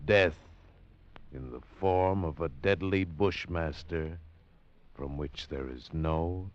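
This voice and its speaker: A man giving dramatic 1940s radio narration, with a measured, ominous and intense delivery. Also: extremely low pitch, highs cut off.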